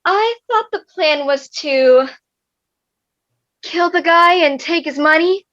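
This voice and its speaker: A woman's voice: very high voice, lively intonation, slow, fairly bright tone, muffled recording, heavily acted. A young woman playing a chatty, independent-minded thirteen-year-old girl in a comic stage reading. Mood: wistful, frank.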